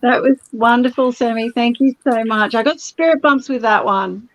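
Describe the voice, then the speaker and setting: A woman's voice: a little high, moderate pace, dry recording, low-quality recording. A woman hosting a live psychic call-in show, effusive, reading out viewer messages and passing them to readers. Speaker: show host.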